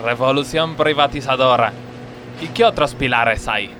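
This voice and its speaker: An actor playing an earnest, cautious factory worker with an Argentine accent in a radio comedy sketch. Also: male voice, mid-range voice, normal speed, noisy background, lightly acted. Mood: cautious, conspiratorial.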